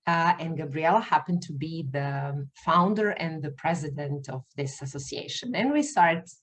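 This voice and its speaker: A woman hosting an interview podcast over a video call, talking haltingly with many fillers and restarts, English not her first language. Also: deep voice. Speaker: podcast host.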